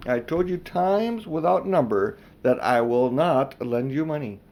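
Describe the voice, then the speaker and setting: A man voicing a firm, quiet, disapproving older woman in a storybook, stern and unimpressed. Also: a little high, animated, measured pace, gravelly. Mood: firm, reproachful.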